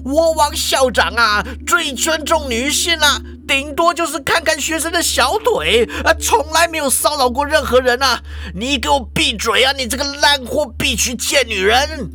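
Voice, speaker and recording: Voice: mid-range voice, big pitch swings, measured pace, a bit bright. A storyteller voicing a flustered, self-righteous old male school principal who wheedles and blusters, in an audio story. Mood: indignant. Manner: wheedling apologies, indignant blustering.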